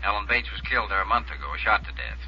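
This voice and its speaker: Husky, low-voiced insurance man. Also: fast. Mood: businesslike, concerned. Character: harried, friendly male insurance company man. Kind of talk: 1950s radio drama acting. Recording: muffled 1950s radio recording.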